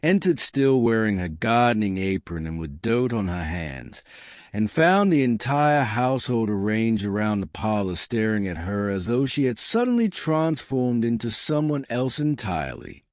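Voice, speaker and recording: A man narrating a historical romance story with measured, formal literary phrasing. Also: fairly low pitch, sing-song, a little mellow, clear, resonant tone, dry recording, clean studio sound. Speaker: audiobook narrator.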